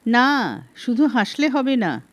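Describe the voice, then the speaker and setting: A woman's voice: a little high, big pitch swings, takes it slowly. A woman voicing a scolding, exasperated middle-aged wife in a story, emphatic and reproachful. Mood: reproachful nagging. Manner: emphatic, reproachful, rhetorical questioning.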